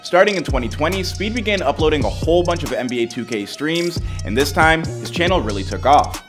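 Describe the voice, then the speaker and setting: A man reading scripted documentary narration in a brisk way, with wry asides. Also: fairly high pitch, lively intonation. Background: music bed.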